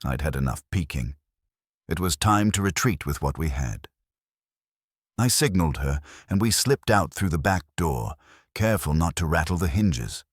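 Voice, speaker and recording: A man's voice: a bass voice, animated, moderate pace, slightly rough, no room sound, clean studio sound. A man reading a first-person detective story as an audiobook narrator.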